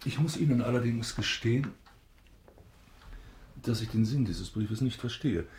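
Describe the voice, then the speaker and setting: An actor playing a self-important banker baron, formal and stiffly deferential, in a German radio drama. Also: male voice, medium pitch, unhurried, darkish tone, echoey room, slight background noise, close to an everyday voice. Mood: stiff, wary.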